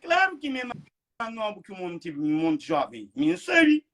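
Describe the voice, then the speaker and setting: A man's voice: high-pitched, wildly swooping pitch, normal speed, gravelly, a bit bright. A man hosting a vlog, talking in a heated, repetitive way with emphatic outbursts. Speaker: vlog host. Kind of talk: heated casual talk.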